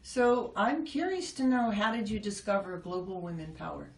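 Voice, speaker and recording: A woman's voice: mid-range voice, moderate pace. A woman hosting a casual interview, asking curious, chatty questions. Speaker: host. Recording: echoey, from a distance, faint noise.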